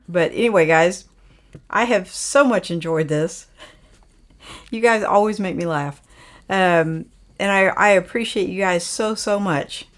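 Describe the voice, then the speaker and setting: An older woman hosts a casual livestream, reading viewer comments aloud and chatting back in a folksy, meandering way. Her voice sits fairly low, and she takes her time with each thought. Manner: folksy, rambling, with frequent fillers. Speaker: livestream host.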